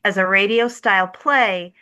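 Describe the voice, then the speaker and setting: A woman's voice: medium pitch, normal speed, bright, forceful tone, clear, resonant tone. A woman being interviewed as a theater co-director, explaining things in an informal, explanatory way.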